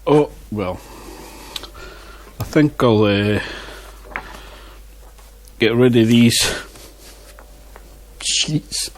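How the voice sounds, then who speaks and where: A man's voice: medium pitch, extremely slow, long pauses, a little hiss or hum. A man reviewing beer in a casual vlog, chatting and muttering asides to himself on a lapel mic. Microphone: wireless lapel mic.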